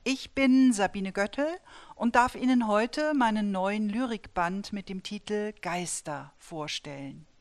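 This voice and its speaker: A woman in her fifties, from the border country between the Saarland and the Palatinate, presents her new poetry collection and then reads from it. She speaks slowly and deliberately and gives every line its weight. Her voice sits at an ordinary middle pitch. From Saar-Palatinate border region of Germany. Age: 50s. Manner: measured, deliberate recitation. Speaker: poet and dramaturge. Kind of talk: author presenting and reading her own poetry.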